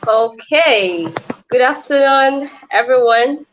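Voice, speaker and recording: A woman from eastern Nigeria, a food entrepreneur, introducing herself on an online panel in a friendly, unhurried way. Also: high-pitched, highs cut off, a little hiss or hum.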